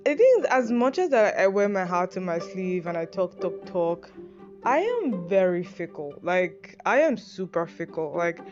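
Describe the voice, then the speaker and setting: Rambling young Nigerian woman, low voice. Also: sing-song, a little slow. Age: young adult. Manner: rambling, self-deprecating, frequent fillers and swearing. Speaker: podcast host. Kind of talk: casual monologue reacting to an advice list. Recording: dull lo-fi sound with music behind.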